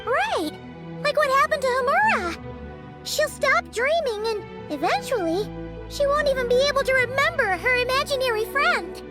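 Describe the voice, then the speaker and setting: Squeaky, very high-pitched little fairy companion. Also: female voice, sing-song, normal speed. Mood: inquisitive, chatty. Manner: exclamatory, refers to herself in third person. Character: small excitable childlike female fairy companion, chatty and indignant. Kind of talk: video game cutscene acting. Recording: music playing behind the voice.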